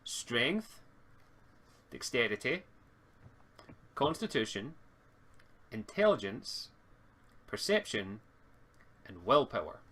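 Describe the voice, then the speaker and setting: A man's voice: mid-range voice, very animated, takes it slowly, long pauses, fairly bright tone, breathy edge. A man acting as game master, explaining roleplaying rules to players on an online voice call. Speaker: game master and stream host. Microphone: voice call on a live stream.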